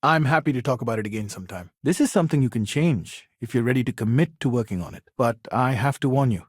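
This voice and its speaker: A man voicing an earnest, patient counselor in a video game, talking in a measured, reasoned and reassuring way. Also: mid-range voice, lively intonation, dry recording, clean studio sound, lightly acted. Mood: counseling, calm. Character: earnest, patient male counselor at a secular community house.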